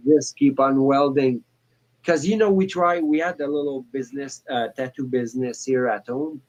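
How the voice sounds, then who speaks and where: A man in his forties calling in to a talk show, speaking in a halting way with many restarts and fillers. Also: mid-range voice, fairly even intonation, a little mellow, poor audio. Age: 40s. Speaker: caller. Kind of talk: casual call-in chat.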